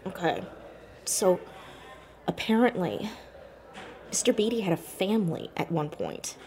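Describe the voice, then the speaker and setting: Teen girl detective, inquisitive and dry, natural audio-drama delivery, very animated intonation, faint ambience behind. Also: fairly low pitch, measured pace, gravelly, slight background noise, heavily acted. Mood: intrigued. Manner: inquisitive, dry, hesitant on personal matters. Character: Max, curious sharp-witted teenage girl sleuth, orphaned.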